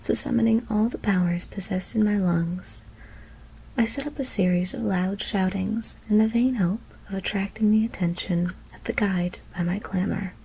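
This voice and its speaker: A woman whispering a scary story in ASMR style, reading in a breathy, deliberate, literary manner. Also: medium pitch, mellow, rough recording. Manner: measured, deliberate literary narration.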